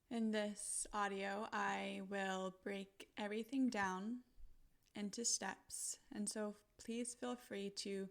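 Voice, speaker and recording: A woman narrating a guided visualization in a gentle, soothing manner, pausing deliberately between short phrases. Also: medium pitch.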